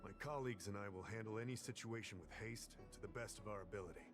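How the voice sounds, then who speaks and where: A low-pitched, courteous male civil servant from a fantasy game, played by a man. He speaks formally and earnestly in measured, scripted lines. Music plays clearly behind him. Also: natural voice. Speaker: game character, government official. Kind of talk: scripted video game dialogue.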